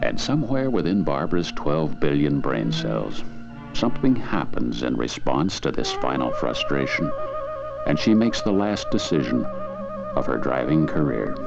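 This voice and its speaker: A very low male voice narrates with grave drama, moving widely in pitch as the story unfolds. It sits on a dull 1980s film soundtrack with music underneath, in a theatrical scripted style. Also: big pitch swings, normal speed, creaky.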